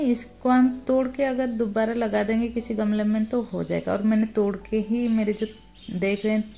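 A woman's voice: medium pitch, mellow. A woman giving a casual tour of her home garden, chatting unhurriedly while filming her plants on a phone outdoors. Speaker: gardening vlogger.

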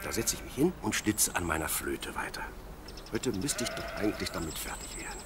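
A man playing a sleepy, cheerful young shepherd boy in an audio play, with a playful and expressive manner. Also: low-pitched, fairly quick, music in the background. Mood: contented. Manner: playful, expressive, talks to himself and his sheep.

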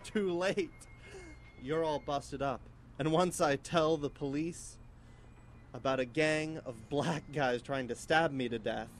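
A man plays a jealous college bully in a live radio drama. He taunts and threatens in a somewhat high male voice. The student studio recording carries faint noise. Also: slow pace. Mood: spiteful. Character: menacing, racist male college student, jealous rival in love.

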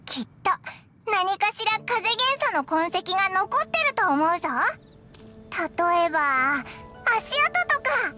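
A woman voicing a small, excitable fairy companion in an anime game, childlike and brash with rough boyish wording. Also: slow, over music, exaggerated voice. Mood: curious. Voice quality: squeaky, childlike.

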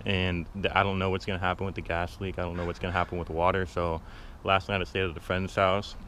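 A man's voice: deep voice, little pitch movement, quick pace, a bit bright, a little hiss or hum. Rambling young man vlogging on the street. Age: young adult. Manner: rambling, filler-heavy, easygoing. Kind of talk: casual vlog to camera. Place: outdoors on a city street; inside a parked car. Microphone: handheld camera at arm's length.

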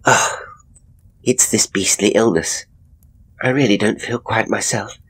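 Guy doing a sick scared wife in a horror story, slow and breathy, halting. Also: fairly low pitch, fairly even intonation, a bit bright, pitched above the performer's own voice. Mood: hushed, uneasy. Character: ailing, frightened married woman confiding in her sister.

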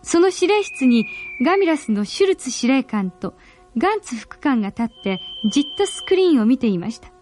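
A woman's voice: high voice, very animated, a little fast, a little mellow, soft background music. A woman narrating a 1970s space radio drama in an earnest, suspenseful, dramatic storytelling manner. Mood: solemn, stirring. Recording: very noisy old radio drama recording.

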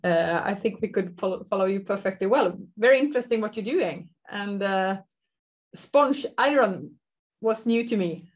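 A woman's voice: mid-range voice, animated, normal speed. Young Swedish-accented woman hosting a webinar. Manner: friendly, hesitant fillers. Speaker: webinar host. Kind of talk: moderating questions. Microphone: video call.

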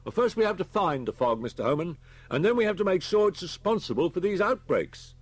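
A man voicing a firm, high-pitched British police chief. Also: very animated, brisk, slightly rough, no echo, exaggerated voice. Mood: matter-of-fact. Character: brisk, authoritative male police chief inspector. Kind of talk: audiobook character voice.

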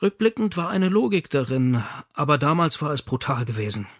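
A man's voice: a little high, very animated, a little fast, husky, no echo, studio quality. A man reading a novel aloud as scripted audiobook narration.

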